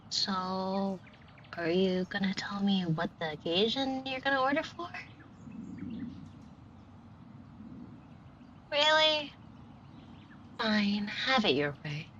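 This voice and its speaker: A woman's voice: mid-range voice, fairly bright tone. Woman acting as a shy florist, dull noisy recording. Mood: playful, teasing. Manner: hesitant, stammering, self-deprecating, colloquial. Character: shy, flustered, fond young woman florist. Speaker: audio roleplay performer. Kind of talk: scripted roleplay acting addressed to the listener. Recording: dull muffled audio with clear noise. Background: shop ambience sound effects.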